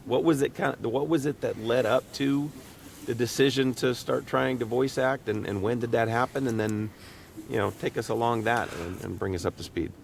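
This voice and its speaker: A man's voice: mid-range voice, normal speed, creaky. A man hosting a podcast in casual interview chat, with an informal way of talking. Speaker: podcast host. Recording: faint background noise.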